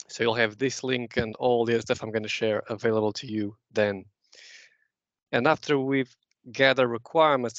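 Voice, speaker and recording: A man's voice: mid-range voice, no room sound. Man giving a muffled user-group talk. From Portugal. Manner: relaxed, explanatory, frequent "uh" restarts. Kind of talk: conference talk with slides.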